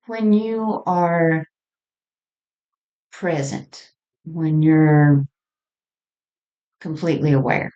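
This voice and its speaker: A woman with a low-pitched voice talks through her spiritual ideas alone, as a podcast host. Her delivery is very slow and reflective. She is halting, often letting a thought trail off before picking up the next. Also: mellow, dull audio. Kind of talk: unscripted spiritual monologue.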